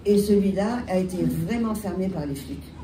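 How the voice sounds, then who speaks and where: A woman with a French accent and a head cold, telling her story on a panel with halting restarts. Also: medium pitch. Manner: halting, many restarts and fillers. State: has a cold. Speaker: bar owner, panelist. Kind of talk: panel talk, recounting her history. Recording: echoey room, distant mic, loud background noise.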